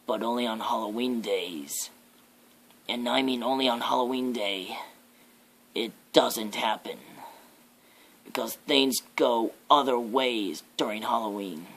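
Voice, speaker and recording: Man as a slow, menacing demon of nightmares. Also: medium pitch, creaky, a bit bright. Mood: ominous, hushed. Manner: slow, ominous, deliberate, with drawn-out pauses. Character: menacing demonic embodiment of fear, death and darkness. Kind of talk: ASMR horror roleplay monologue.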